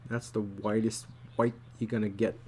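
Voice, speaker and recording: A man giving a casual drawing tutorial, thinking aloud in a halting, muttering way as he works. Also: on the low side, little pitch movement, a little mellow, a little hiss or hum. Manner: halting, muttering to himself while working. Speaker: storyboard artist, tutor.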